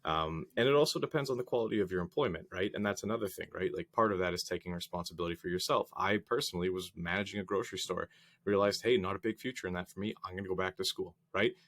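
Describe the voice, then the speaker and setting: A man co-hosting a casual podcast, his voice slightly raspy from a cold, telling stories and joking. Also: sits low, fast, a bit bright. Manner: joking, storytelling with analogies. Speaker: podcast co-host.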